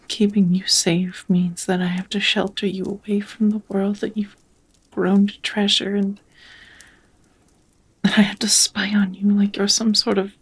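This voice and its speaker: A woman voicing a devoted, nervous vampire roommate in an intimate ASMR roleplay, whispering softly in a halting, earnest, tender way. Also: medium pitch. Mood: apologetic, pained. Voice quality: soft, breathy whisper.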